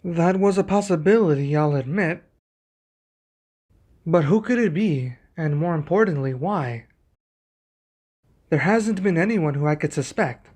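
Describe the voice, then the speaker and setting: A man playing a young king and protective husband in an ASMR roleplay, speaking in a formal, measured, deliberate way. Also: a little high, animated, darkish tone. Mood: pensive, measured.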